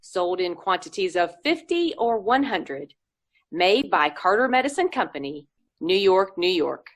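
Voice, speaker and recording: A woman playing a perky 1940s radio pitchwoman, reciting a sponsor's advertisement in an emphatic, sing-song manner. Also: sing-song, a little slow. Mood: perky selling. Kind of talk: 1940s radio commercial acting.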